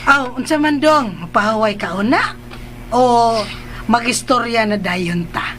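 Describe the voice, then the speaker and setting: High-voiced caring, probing mother. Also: a little slow, a bit bright, slight background noise. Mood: gently coaxing. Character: caring, probing older mother figure, Nanay Luz. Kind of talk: Filipino radio drama acting.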